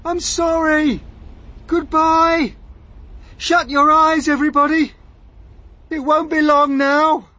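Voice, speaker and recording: A man's voice: lowish voice, sing-song, moderate pace, hardly any pauses, a bit bright, breathy edge, exaggerated voice. A man voicing a brave, earnest young boy who calls out urgently in a storybook reading. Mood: despairing. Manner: commanding, exclaiming.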